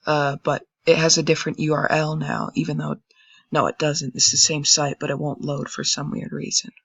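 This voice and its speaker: A young man with a raspy voice, casually commenting and thinking aloud while browsing old web pages on a screen recording. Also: a little high, flat delivery, moderate pace, fairly bright tone, no room sound. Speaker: channel host. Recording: dull lo-fi dry recording.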